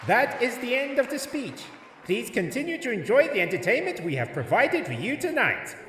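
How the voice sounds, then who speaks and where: A man playing a high-voiced celebration announcer in a fan audio drama. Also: very animated, normal speed, fairly bright tone, heavily acted. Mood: announcing. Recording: distant, echoing hall with crowd noise.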